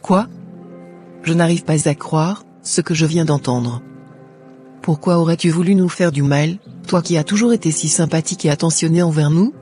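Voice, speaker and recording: Man voicing a high-pitched devout cartoon mother. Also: moderate pace, dark tone, faint music behind, lightly acted. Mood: puzzled. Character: caring, devout mother and forgiving neighbour.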